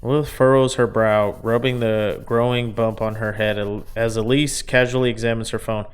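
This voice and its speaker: Man reading aloud, clear smooth voice. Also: lowish voice, steady tone, normal speed. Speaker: gamer reading a visual novel aloud. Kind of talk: expressive read-aloud narration with casual commentary.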